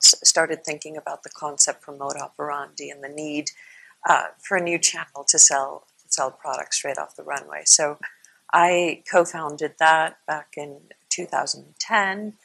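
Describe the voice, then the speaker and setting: A woman from Iceland speaking as a panelist in a live online discussion, explaining at a measured pace with frequent restarts. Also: low voice, creaky, a bit bright, breathy edge, rough recording. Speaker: panelist, fashion entrepreneur. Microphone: video call.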